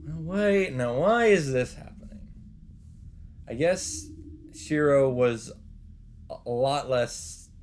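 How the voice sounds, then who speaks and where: Guy doing a visual novel lets play, reads it out dramatically, normal pitch, slight game noise behind. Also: slow, pauses often. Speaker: let's player. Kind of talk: reading a visual novel aloud, with casual commentary.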